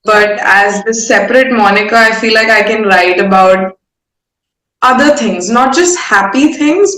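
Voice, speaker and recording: A woman's voice: medium pitch, a bit bright, a little hiss or hum. A young woman with an Indian accent chatting candidly and informally in an interview, with a playful way of talking. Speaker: singer-songwriter being interviewed. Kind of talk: casual interview conversation. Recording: roomy, echoing sound.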